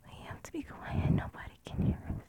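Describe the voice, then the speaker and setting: A woman speaking in a breathy whisper in an intimate adult audio style, hushed and pleading, with drawn-out delivery. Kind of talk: intimate whispered erotic audio, addressed to a partner.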